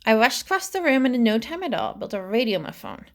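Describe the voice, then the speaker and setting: A woman reading a rhyming children's story aloud with expressive, dramatic phrasing. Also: a little high, fairly quick. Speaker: storybook reader.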